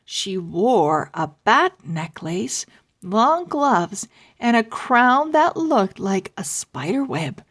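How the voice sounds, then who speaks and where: Very slow, expressive woman storyteller. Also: medium pitch. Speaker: children's book reader, host. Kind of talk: expressive storybook read-aloud narration.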